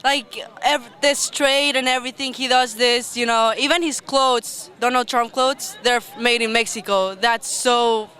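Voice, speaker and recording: A protester outdoors speaking frankly and profanely, with quick apologetic asides. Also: medium pitch, steady tone, bright, forceful tone.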